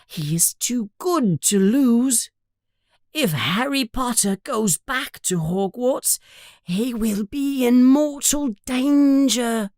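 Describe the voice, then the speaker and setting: A woman's voice: on the high side, slow, darkish tone, dry recording, studio quality, voice pushed up. A woman voicing a frightened, devoted male house elf servant in a storybook, pleading dramatically and speaking of himself in the third person. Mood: urgent warning.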